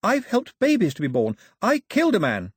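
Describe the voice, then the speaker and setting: A man's voice: high voice, huge pitch swings, normal speed, husky, no echo, clean studio sound, voice pushed up, exaggerated voice. A man reading aloud and voicing a headstrong, fiery young woman who speaks passionately and defiantly.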